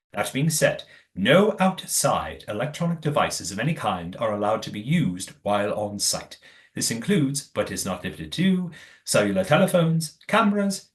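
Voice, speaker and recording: A man's voice: mid-range voice, lightly acted. A man playing a courteous master of a secretive old manor in an audio play, with formal, precise wording.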